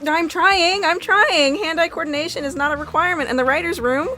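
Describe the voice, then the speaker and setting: A woman's voice: very high-pitched, brisk, pushed and bright. A woman answering and bantering playfully as a trivia contestant.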